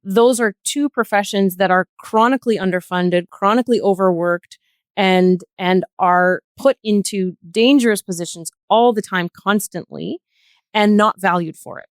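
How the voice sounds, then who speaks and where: Female podcast guest, mid-range voice, dry recording, earnest and articulate, deliberate phrasing. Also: medium pitch, no room sound. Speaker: podcast guest, healthcare worker. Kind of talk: earnest discussion.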